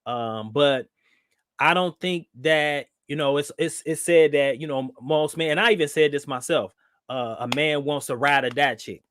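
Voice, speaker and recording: A man's voice: a little high, normal speed, clean tone, dry recording. A man hosting a live online discussion show, talking casually with many fillers, a little nasal from a cold. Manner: relaxed, with frequent fillers and restarts. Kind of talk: casual live-stream talk.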